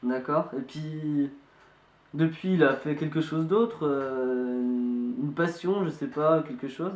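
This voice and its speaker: A man playing an amateur investigator, speaking politely and haltingly as he asks questions. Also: fairly high pitch, a little mellow. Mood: polite, insistent. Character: young male amateur investigator, polite, insistent, hesitant. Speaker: amateur investigator presenting a video. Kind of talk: hesitant spoken phone inquiry. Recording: echoey room.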